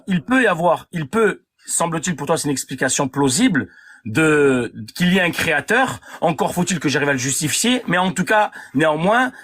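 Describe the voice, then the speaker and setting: Higher-voiced man reasoning calmly. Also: husky. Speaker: live stream participant, believer. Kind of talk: casual live debate.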